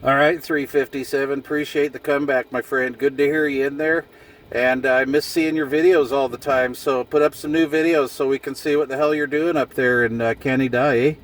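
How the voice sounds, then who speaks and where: A man's voice: medium pitch, fairly even intonation, fairly quick. A man chatting casually in CB radio slang with a folksy, jokey manner. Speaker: CB radio operator filming a vlog. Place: outdoors in a vehicle on a hillside. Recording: distant mic with background noise.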